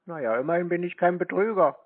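A man in a phone conversation, answering in a laconic, deadpan manner. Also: sits high, quick pace, breathy edge, dry recording. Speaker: prank scam-baiter taking calls. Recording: narrow-band dry phone recording.

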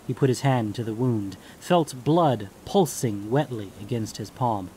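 A man's voice: medium pitch, unhurried, very noisy. A man reading scripted fantasy fiction as the narrator of an audio story.

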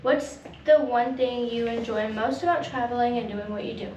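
A woman's voice: on the high side, normal speed, dark tone, highs cut off, a little hiss or hum. A young woman asking prepared questions as an interviewer in a vlog. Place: small backstage dressing room. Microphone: camera across the room. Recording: roomy, distant camera mic, background music.

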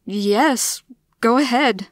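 A woman's voice: on the high side, extremely slow, no room sound, clean studio sound, pitched above the performer's own voice. Earnest, candid woman, voiced by a narrator. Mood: grateful, wondering. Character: friendly, candid, chronically ill woman, easily tired. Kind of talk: storybook character voice.

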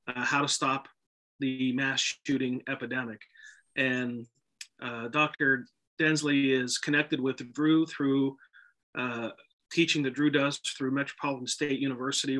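A man's voice: mid-range voice, bright, forceful tone, rough recording. A man in his fifties hosting a podcast over a video call, introducing and questioning guests conversationally. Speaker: podcast host. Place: indoor office, video call.

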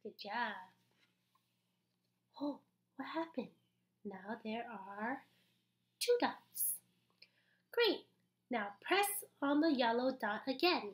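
A young adult woman reading a picture book aloud to small children in an animated, exaggerated, encouraging way, with pauses for them to join in. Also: fairly high pitch. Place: small indoor room.

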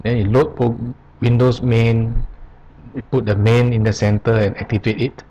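A man presenting an unscripted software tutorial, explaining step by step in short, halting phrases. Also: mid-range voice, little pitch movement, dull audio. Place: voice-over on a screen recording.

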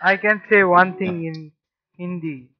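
Young man on a headset, pitched a bit high. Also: steady tone, highs cut off. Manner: pretends to be from Texas, slow simple questions. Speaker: prank video host. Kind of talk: casual video chat. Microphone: gaming headset.

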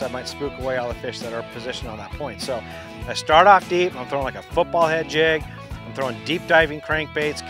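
Man teaching fishing outdoors over guitar music. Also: a little high. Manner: plain, practical, explains step by step with repeated restarts. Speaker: fishing instructor. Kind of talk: outdoor instructional talk. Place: outdoors on a boat by a lake. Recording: music bed under the voice.

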